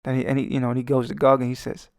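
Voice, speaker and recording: Gravelly Brooklyn guy ranting. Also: mid-range voice, monotone, very fast, dry recording, clean studio sound. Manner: swaggering, profane, joking. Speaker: artist and channel host. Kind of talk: rambling comedic commentary over a speedpaint.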